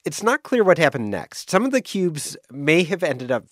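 A man's voice: on the high side, sing-song, normal speed, dry recording, clean studio sound. A man explaining things informally as a science correspondent, telling a story in a conversational podcast style.

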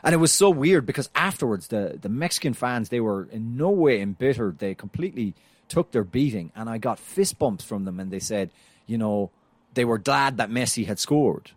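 A man's voice: mid-range voice, lively intonation, moderate pace, no echo. A man co-hosting a soccer podcast with a non-American English accent, telling stories in a casual, chatty way with frequent fillers and restarts. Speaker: podcast co-host.

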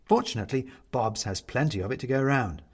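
A man's voice: mid-range voice, animated, brisk, a little mellow, breathy edge. A man narrating a literary story as an audiobook reader.

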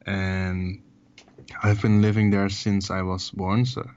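Man, twenties, Dutch accent, non-native English, very deep voice, halting and relaxed, muffled call sound. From Netherlands. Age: 20s. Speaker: musician guest. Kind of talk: casual interview over a call. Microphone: call audio.

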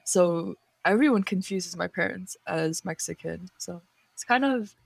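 A young woman from Nepal in a casual podcast chat, speaking in a relaxed way with many fillers. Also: on the low side, measured pace, vocal fry, no echo, poor audio. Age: young adult. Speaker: podcast co-host.

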